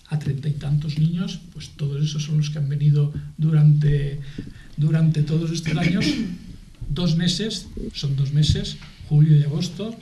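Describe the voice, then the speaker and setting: A middle-aged man with an accent from Spain, explaining things in a TV debate in a halting way, repeating and rephrasing as he goes. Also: on the high side, warm tone. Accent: Spanish from Spain. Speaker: guest, aid association spokesman. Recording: echoey room.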